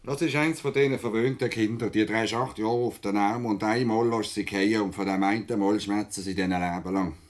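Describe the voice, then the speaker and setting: An actor playing a gruff, dryly joking Swiss policeman in a radio play, clipped in manner, with a Swiss accent. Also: lowish voice, fairly even intonation, a little fast, hardly any pauses, lightly acted. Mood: gruff, probing. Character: gruff, dry-humoured male Swiss policeman questioning a child.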